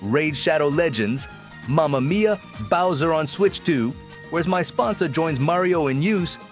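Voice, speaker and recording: A man's voice: on the high side, expressive, a little slow, faint music behind. A man working as a guest narrator, reading a short comic story aloud dramatically.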